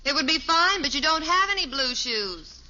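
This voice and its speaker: A woman playing a patient, practical, gently firm middle-aged mother in a 1950s radio sitcom. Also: sits high, very animated, moderate pace, exaggerated voice. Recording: muffled, hissy old radio recording.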